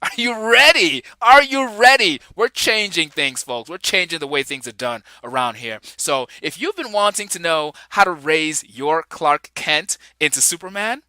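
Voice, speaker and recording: A man's voice: on the high side, expressive, moderate pace, few pauses, sharp tone, no room sound. A man from Nigeria in his forties, hosting his own podcast with an upbeat, enthusiastic delivery and chuckling asides. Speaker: podcast host. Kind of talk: upbeat solo podcast intro.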